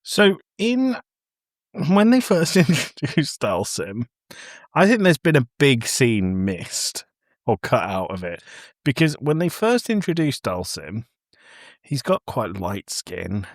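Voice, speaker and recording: A man co-hosting a podcast, telling things in an animated, casual conversational way. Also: medium pitch, slow pace, no room sound, studio quality. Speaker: podcast host. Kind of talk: casual film discussion.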